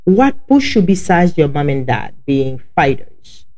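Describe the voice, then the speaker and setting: A woman with a heavy Haitian accent hosts a casual podcast, speaking to her listeners directly. Her voice sits slightly low, and she talks in a chatty, informal way. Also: big pitch swings, a little slow, warm tone. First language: Haitian Creole. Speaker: podcast host.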